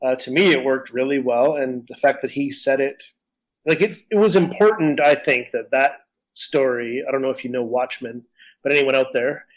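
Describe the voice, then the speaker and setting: A man's voice: mid-range voice, moderate pace, poor audio. A man co-hosting a podcast, talking casually in a rambling, opinionated way. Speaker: podcast co-host. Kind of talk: casual conversational discussion.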